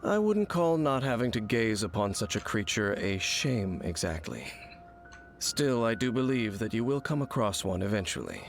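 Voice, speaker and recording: An actor playing a world-weary, brooding wandering warrior in a video game, speaking in a grave, formal, measured and aloof manner. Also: male voice, fairly low pitch, very animated. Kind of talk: video game character dialogue. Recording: music behind the voice.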